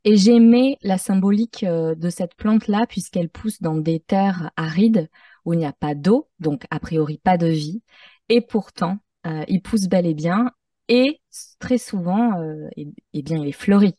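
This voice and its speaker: Mellow, low-pitched woman, slightly distant mic. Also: a little slow, no room sound, clean studio sound. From Mexico-born, French-Mexican. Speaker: interview guest, podcaster. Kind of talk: conversational interview.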